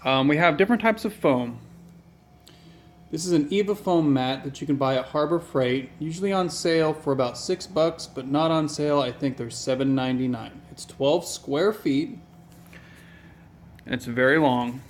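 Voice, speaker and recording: A man walking through craft materials in a casual, low-key tutorial, with a plain, even voice and a meandering delivery full of ums. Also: normal speed, slight background noise. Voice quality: flat, even voice. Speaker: hobby tutorial maker.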